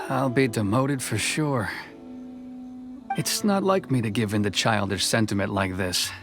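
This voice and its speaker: An actor playing a dutiful, conflicted officer in a video game, speaking in a measured, formal way. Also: male voice, fairly low pitch, animated. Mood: reflective, restrained. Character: stern, dutiful officer man, conflicted but soft-hearted. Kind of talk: dramatic game dialogue acting. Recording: music behind the voice.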